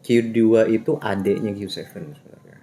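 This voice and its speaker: A man's voice: fairly low pitch, normal speed, dark tone, slight background noise. A man with a Jakarta Indonesian accent chatting casually as he reviews motorcycle gear. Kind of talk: casual product review chat.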